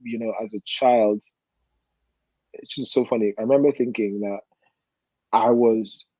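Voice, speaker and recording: A man, telling personal stories casually and haltingly as a guest, often rephrasing as he reflects. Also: fairly low pitch, fairly even intonation, warm tone, slightly rough, no echo, muffled recording. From Nigeria. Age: 40s. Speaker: podcast guest.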